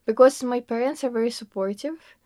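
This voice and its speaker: A woman's voice: a little high, fairly quick, a little mellow, no echo. A young woman with a Russian accent, earnestly answering interview questions in a casual way, filling her talk with "like" and "you know". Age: young adult. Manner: earnest, fillers like "like" and "you know", laughs often.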